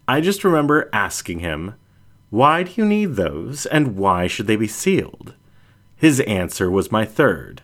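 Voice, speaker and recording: Low-voiced man reading fiction, animated intonation. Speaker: audiobook reader. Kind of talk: scripted first-person story narration.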